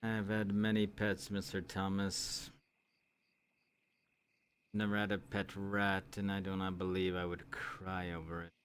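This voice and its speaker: A man improvising in-character roleplay dialogue with formal, measured phrasing. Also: deep voice, monotone, slight background noise. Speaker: roleplayer in an online game.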